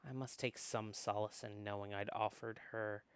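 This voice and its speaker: A co-host of a book club who chats casually and reads a visual novel aloud, animated and prone to rambling asides. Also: medium pitch, normal speed, smooth, no room sound. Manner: animated, rambling, self-interrupting. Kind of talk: casual chat mixed with dramatic read-aloud.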